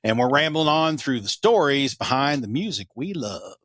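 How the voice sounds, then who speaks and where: A man's voice: mid-range voice, sing-song, normal speed, a bit bright, no echo. Male podcast co-host, enthusiastic storyteller, dry room. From Eastern Kentucky. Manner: earnest, enthusiastic, repeats himself. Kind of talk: casual storytelling chat.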